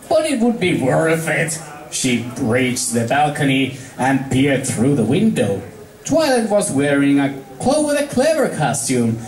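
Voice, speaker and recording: A man's voice: medium pitch, a little mellow, roomy sound, poor audio. A man reading fan fiction aloud as storybook narration, an amateur who halts and stumbles over words.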